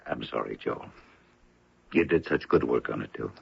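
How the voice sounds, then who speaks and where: An actor playing a weary, resigned senior law official in a radio drama, measured and sardonic, with a gravelly, husky voice. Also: male voice, a bass voice, lively intonation, no room sound, exaggerated voice. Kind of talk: 1980 radio drama acting. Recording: thin, phone-like old radio recording.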